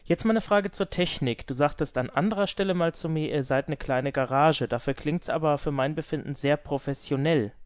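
A man's voice: fairly high pitch, brisk, hardly any pauses, clean tone. A man hosting an informal interview and putting questions to his guest. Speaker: interviewer and host. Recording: dull, limited-bandwidth sound.

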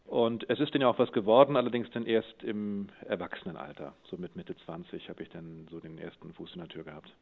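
Low-voiced man on a phone-like line. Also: fairly even intonation, brisk, vocal fry, hardly any pauses. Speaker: interviewed voice actor. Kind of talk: conversational interview answers, anecdotal.

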